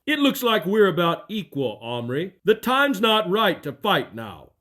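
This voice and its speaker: Man playing a high-pitched scheming royal rival. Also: huge pitch swings, unhurried, a bit bright, exaggerated voice. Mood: smug challenge. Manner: sly, taunting. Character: scheming, smug rival claimant to the throne. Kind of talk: dramatized Bible story audio acting.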